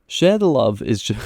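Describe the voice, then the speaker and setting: A man hosting a gaming video, narrating a review in an expressive, opinionated way into a close mic. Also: on the low side, dry recording. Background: background music.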